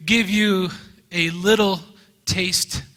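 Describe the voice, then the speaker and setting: A man teaching a Bible class in English, speaking earnestly in short phrases and pausing so an interpreter can translate. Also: sits high, fairly even intonation, sharp tone. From United States. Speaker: guest teacher. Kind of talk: lecture given through an interpreter. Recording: reverberant hall.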